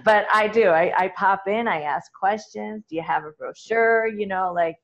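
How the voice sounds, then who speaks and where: A talkative woman with a loud voice, chatting as an interview guest and laughing often as she talks. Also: mid-range voice, normal speed, highs cut off. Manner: chatty and laughing, with many restarts. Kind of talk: casual conversational interview.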